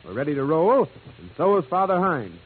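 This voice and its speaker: A man's voice: on the high side, very animated, a little fast, breathy edge. An American man hosting a 1940s radio music show, announcing in showy, jive-talking patter. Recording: old, noisy, narrow-band radio broadcast.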